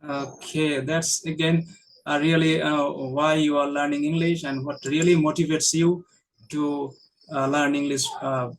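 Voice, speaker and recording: A man who teaches English, interviewing a guest in a halting, filler-heavy manner and often murmuring agreement. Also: a little high, level tone, rough recording. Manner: frequent backchannel, halting with many fillers. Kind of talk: casual conversational interview.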